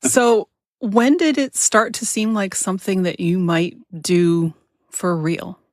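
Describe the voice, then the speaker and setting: A woman's voice: mid-range voice, fairly even intonation, slow, no room sound, clean studio sound. A woman hosting a podcast interview in a casual, conversational style.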